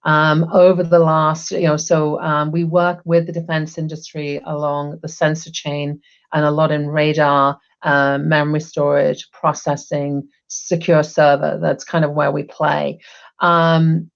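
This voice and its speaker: A woman's voice: low voice, flat delivery, measured pace, gravelly, muffled recording. A middle-aged woman from England, chatting upbeat as an interview guest over a video call, with many ums. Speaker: guest, HR executive. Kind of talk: casual interview talk. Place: home room, video call.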